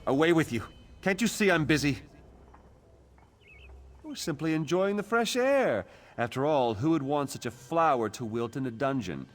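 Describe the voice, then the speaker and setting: An actor playing an arrogant, cowardly Imperial officer in a video game, haughty and blustering. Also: male voice, a little high, animated, normal speed, natural voice. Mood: haughty, dismissive. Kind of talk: video game character acting.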